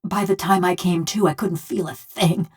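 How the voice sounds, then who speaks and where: Low-voiced, bitter folksy killer construct. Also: female voice, steady tone, moderate pace, a little mellow, slightly rough. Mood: grim, brooding. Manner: folksy rustic speech, wry and brooding. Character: bitter vengeful female killer trapped in an unfeeling metal construct body. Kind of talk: scripted video game character dialogue.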